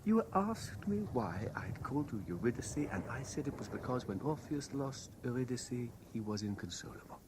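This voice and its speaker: A man's voice: medium pitch, sing-song, moderate pace, few pauses. An actor playing an obsessed, domineering man in a radio drama, insistent and intense in his delivery. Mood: urgent, obsessive. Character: obsessed, domineering man haunted by a dead lover. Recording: noisy 1970s radio broadcast.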